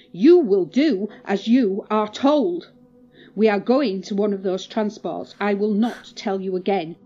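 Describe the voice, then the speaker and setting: A woman's voice: medium pitch, a little mellow, dull audio. A woman playing a firm, anxious mother in an audio drama.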